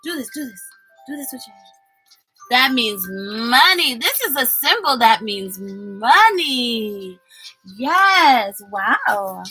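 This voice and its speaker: A woman reading a picture book aloud to children as their teacher, expressive and exaggerated, coaxing them along with questions. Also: on the high side, takes it slowly, soft background music, poor audio.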